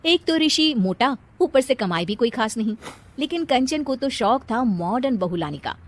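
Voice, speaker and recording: High-pitched woman narrating a cartoon story. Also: expressive, normal speed. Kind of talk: scripted children's story narration.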